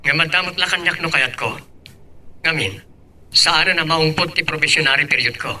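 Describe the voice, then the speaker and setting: Man acting a bright, forceful young Filipino son. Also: fairly high pitch, normal speed. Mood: earnest. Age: young adult. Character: earnest, ambitious, dutiful young son. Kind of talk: amateur radio-style drama acting. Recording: distant microphone.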